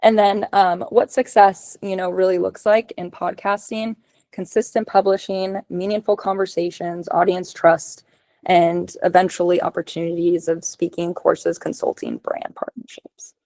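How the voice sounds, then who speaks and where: A woman giving a casual webinar presentation on a video call, running through tips as lists with many ums and likes, her voice going hoarse. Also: lowish voice, little pitch movement, moderate pace, a little mellow, dry recording. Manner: casual, lots of ums and likes, list-like delivery. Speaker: podcast production consultant.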